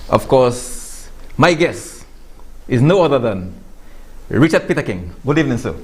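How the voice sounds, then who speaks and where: A man's voice: medium pitch, big pitch swings, unhurried, darkish tone. A man hosting a studio sports talk show, chatty and laughing, often repeating words as he introduces his guest.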